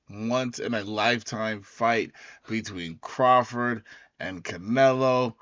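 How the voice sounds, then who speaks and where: A man hosting his own podcast in a loose, rambling way, his voice raspy as it recovers. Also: medium pitch, sharp tone, no room sound, muffled recording. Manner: loose, rambling, full of fillers and restarts. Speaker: podcast host. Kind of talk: casual solo recap talk.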